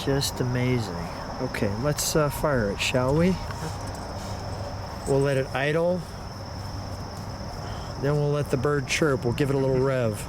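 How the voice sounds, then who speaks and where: A man's voice: mid-range voice, unhurried, long pauses. A middle-aged or older man, chatting casually and enthusiastically as he hosts a car walkaround. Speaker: host of a car show. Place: outdoors around and inside a car. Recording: loud car and outdoor noise. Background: engine, insects, vehicle noise.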